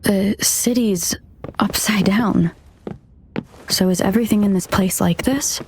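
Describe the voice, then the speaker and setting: Game heroine, female actor, young and uneasy. Unhurried, hesitant, hushed delivery over faint noise. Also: mid-range voice, lightly acted. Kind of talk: scripted video game dialogue.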